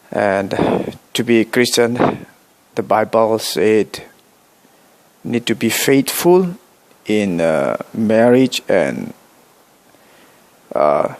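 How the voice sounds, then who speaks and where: Slow, halting Indonesian-accented man. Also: mid-range voice. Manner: slow, halting speech with many uh pauses and self-corrections. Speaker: vlogger. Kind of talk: direct-to-camera vlog address.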